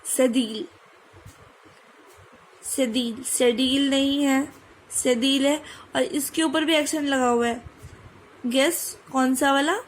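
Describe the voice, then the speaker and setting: A young Indian woman with a high voice teaches at an unhurried pace, spelling out letters and repeating sounds for her students. A faint background noise runs under the recording. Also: fairly even intonation, low-quality recording. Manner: spells letters slowly and drills pronunciation. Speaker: French language teacher. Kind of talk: online lesson mixing Hindi and English.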